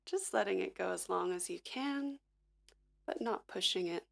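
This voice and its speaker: A woman hosting a livestream, gently talking viewers through a vocal warm-up at her piano with a slightly froggy morning voice. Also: mid-range voice, animated, moderate pace, creaky, slight background noise. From Filipina-American. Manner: gentle, encouraging, instructive. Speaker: singer-songwriter and livestream host. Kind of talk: casual vocal warm-up coaching.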